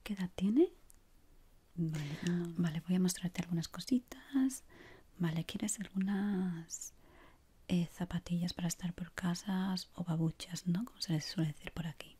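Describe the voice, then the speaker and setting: A woman with an accent from Spain whispers gently and soothingly in a breathy voice, playing an ASMR shop assistant up close to the mic. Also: fairly low pitch, a little slow. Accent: Spanish from Spain. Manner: soft, gentle, soothing, many diminutives. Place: small indoor room. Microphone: close microphone. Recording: close mic with clear background noise.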